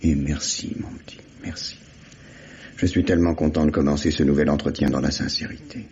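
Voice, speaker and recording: Very deep-voiced wry male investigator. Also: fairly even intonation, brisk, darkish tone, slight background noise. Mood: mock-sincere, wry. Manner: sardonic, falsely fatherly, theatrical. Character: wry, insistent male investigator questioning a murder suspect. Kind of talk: 1950s French radio mystery acting. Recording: dull lo-fi old radio recording.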